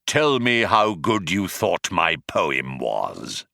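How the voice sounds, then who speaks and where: A man voicing a pompous, merciless alien male poet, with a whirring, strident voice that climbs high as he declaims. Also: big pitch swings, takes it slowly, gravelly, pushed and bright, dry recording, clean studio sound, full character voice. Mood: menacing.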